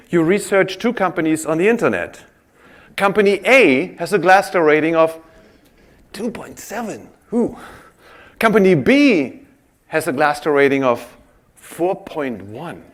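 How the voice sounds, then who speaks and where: A man presents on a conference stage, talking straight to his audience. His voice is a bit higher than most men's and swings widely as he tells his stories. He draws the crowd in as he talks. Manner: animated, audience-engaging storyteller. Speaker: conference speaker. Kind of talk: keynote-style presentation.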